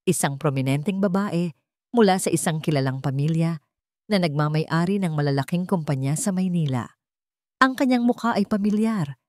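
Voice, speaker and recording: A woman narrates a dramatic story from a script in a Filipino accent. Her voice sits on the low side for a woman. The recording is close and dry, with little sense of a room around her. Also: darkish tone, dry recording.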